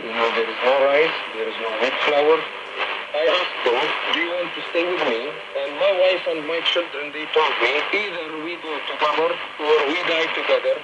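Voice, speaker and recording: A man's voice: on the high side, normal speed. Muffled staticky shortwave, palestinian guy in his 40s with arabic accent giving testimony and pleading. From Gaza, Palestinian. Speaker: aid agency manager giving testimony. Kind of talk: personal testimony and appeal. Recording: muffled, very noisy shortwave radio reception. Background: heavy shortwave static.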